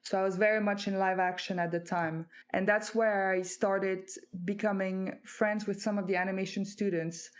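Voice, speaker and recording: A woman's voice: medium pitch, steady tone, moderate pace, few pauses. A woman from France, a native French speaker, speaking as a guest author and recounting her path in a thoughtful, reflective way with hesitant fillers. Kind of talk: conversational interview.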